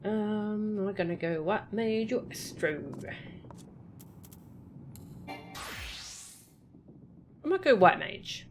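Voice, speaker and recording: A woman's voice: mid-range voice, takes it slowly, long pauses, slight background noise. A woman doing casual live gameplay commentary in a chatty, playful way, often muttering to herself and her chat. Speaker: game streamer.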